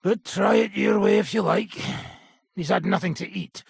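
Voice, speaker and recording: An actor playing a gruff, middle-aged, foul-mouthed mercenary nobleman in a video game, blunt and cocky, with a raspy, gravelly voice. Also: high-pitched, moderate pace, no echo, clean studio sound, heavily acted. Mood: sardonic, rough. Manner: blunt, swaggering, earthy swearing. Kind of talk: video game character acting. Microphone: close, dry studio microphone.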